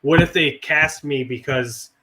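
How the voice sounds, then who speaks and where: A middle-aged man with a hoarse, raspy voice from a cold, rambling and swearing casually in a relaxed chat. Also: fairly high pitch, a little slow. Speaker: veteran guest and actor.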